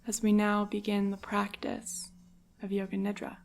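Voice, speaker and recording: A woman guides a meditation in a soft, soothing, unhurried voice. Her medium-pitched delivery stays very even, with some creak and breathy endings to her phrases. Clear ambient singing bowl tones sit behind her. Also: a little hiss or hum. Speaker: yoga nidra meditation guide.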